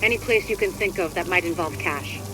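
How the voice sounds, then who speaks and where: A brisk female mission handler played by a woman from a video game. She gives directions in a businesslike, no-nonsense manner, with clear noise in the background. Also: on the low side, natural voice. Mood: focused.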